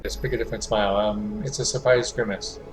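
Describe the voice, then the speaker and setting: A man's voice: on the low side, steady tone, fast, low-quality recording. A man giving casual playthrough commentary, reading out choices and reacting to a game. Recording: game music behind the voice. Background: game music.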